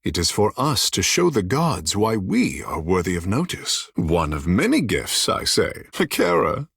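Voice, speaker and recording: Husky, theatrical young shark-folk charmer. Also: male voice, fairly low pitch, wildly swooping pitch, unhurried, fairly bright tone, no echo, studio quality, full character voice. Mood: playful, flamboyant. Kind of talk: video game voice acting.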